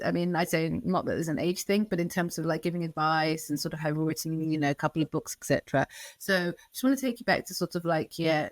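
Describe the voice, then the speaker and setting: A woman hosts a podcast conversation, circling around her questions with plenty of "sort of" and "like". Her voice is on the lower side, and it comes across close-miked and dry. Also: no echo. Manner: rambling questions, many "sort of" and "like" fillers. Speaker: podcast host. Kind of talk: casual interview questions.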